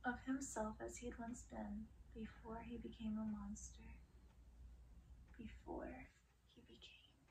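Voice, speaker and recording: A woman with a fairly high, strongly creaky voice reads a dark fairy tale in ASMR style. She tells it dramatically, drawing out words and letting phrases trail toward a whisper. The mic sits somewhat distant, with faint noise behind her. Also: steady tone, a little slow. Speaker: audiobook reader. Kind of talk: ASMR reading of a dark fairy-tale story, breathy and hushed in places.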